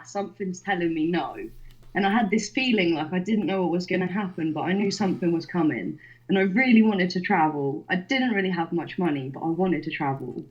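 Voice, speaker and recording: Young woman, podcast guest, chatty storyteller, slightly low voice, muffled lo-fi recording. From Poland, raised in the UK. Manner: excited, chatty, fillers of "like". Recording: dull lo-fi sound.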